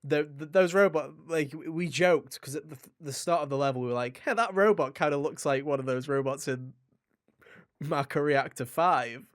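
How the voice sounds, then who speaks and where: A man co-hosting a gaming podcast, chatting casually and enthusiastically in an animated, rambling way. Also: on the high side, moderate pace, no echo, studio quality. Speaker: podcast co-host reviewing a video game.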